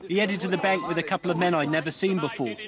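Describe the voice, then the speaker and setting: A male actor as a chatty Victorian townsman in a game scene. His voice is high and obliging. A loud, busy street crowd surrounds him. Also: steady tone, fast, natural voice. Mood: puzzled, chatty. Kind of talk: video game cutscene acting.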